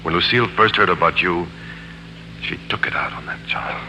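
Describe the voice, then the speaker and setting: An earnest man caught in a bitter divorce, speaking low with urgency and regret. It is 1940s radio drama on a noisy old recording. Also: normal speed, bright, forceful tone. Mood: regretful.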